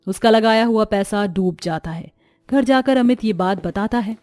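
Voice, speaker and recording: A woman's voice: mid-range voice, moderate pace, darkish tone, dry recording, clean studio sound, faint music behind. A woman giving scripted narration of a moral story as its storyteller.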